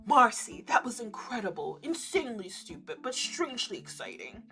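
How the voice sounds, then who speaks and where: Woman voicing a serene, lower-toned male winter spirit. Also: huge pitch swings, moderate pace, creaky, bright, forceful tone, breathy edge, soft background music, exaggerated voice, rough recording. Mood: dry, pleased. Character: serene, dry, shyly grumbling young male winter spirit.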